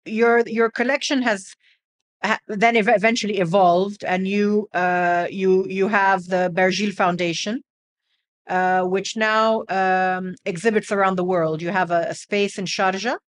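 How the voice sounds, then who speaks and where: Woman interviewer with measured, halting questions. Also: mid-range voice, steady tone. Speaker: podcast host, interviewer. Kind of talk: conversational interviewing.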